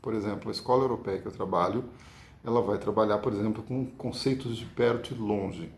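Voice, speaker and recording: A Brazilian-accented man gives a card-reading lesson with a gravelly edge to his mid-pitched voice. He explains patiently and keeps checking in with the listener. The recording sounds echoey and roomy. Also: fairly quick, slight background noise. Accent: Brazilian Portuguese. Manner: patient, ends points with tag questions. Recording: echoey room.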